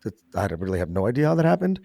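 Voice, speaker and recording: A man's voice: lowish voice, sing-song, very fast, gravelly, warm tone, no room sound, clean studio sound. A man hosting a podcast, chatting casually and making joking asides. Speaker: podcast host. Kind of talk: casual interview chat.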